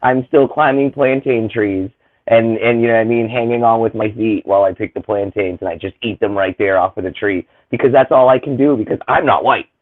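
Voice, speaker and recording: A man from Boston, a comic creator chatting casually on a livestream, talking with sarcastic, animated energy. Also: fairly low pitch, brisk, dry recording. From Boston, Massachusetts. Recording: tinny phone-like audio.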